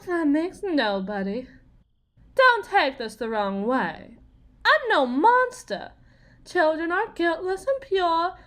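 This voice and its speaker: Slow, high-voiced, wry, desperate farm wife played by a woman. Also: fairly bright tone, heavily acted. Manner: folksy, sardonic, theatrical, halting. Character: desperate, wry, wounded pregnant farm wife bargaining with demon. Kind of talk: ASMR dramatic roleplay monologue.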